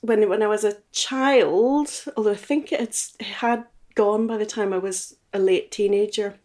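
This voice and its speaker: A woman's voice: mid-range voice, normal speed, a little mellow. A woman in her late forties with a Northern Irish accent, reminiscing casually as an interview guest in a thoughtful, slightly hesitant way. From Belfast, Northern Ireland. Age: late 40s. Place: indoors in a house.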